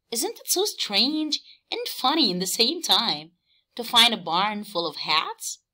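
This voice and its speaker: A woman teacher reading aloud for young children, expressive and emphatic, often questioning. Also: mid-range voice. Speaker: teacher and story reader.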